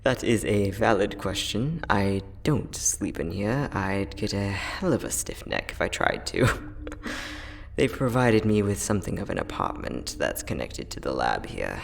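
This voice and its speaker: A man playing a friendly, teasing time-traveling inventor, soft-spoken and chatty in an ASMR roleplay audio drama. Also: on the low side, big pitch swings, measured pace, gravelly, exaggerated voice. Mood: playful, teasing. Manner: soft-spoken, chatty, playful, with frequent chuckles.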